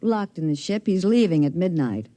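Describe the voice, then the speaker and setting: A woman's voice: fairly low pitch, big pitch swings, normal speed, dark tone. An American actress playing a weary, restless middle-aged woman in a 1950s radio drama. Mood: bitter, resigned. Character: weary, restless woman longing for a clean start.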